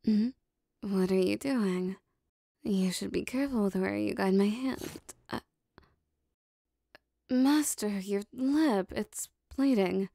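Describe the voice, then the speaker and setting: Casting: actress as possessive, adoring demoness for intimate roleplay audio. Soft, warm dark tone, very close dry recording, formal diction. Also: female voice, mid-range voice, no echo. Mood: flustered eager. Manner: soft, breathy, formal archaic phrasing, lingering pauses. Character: lovestruck possessive female demon bound to a sorcerer.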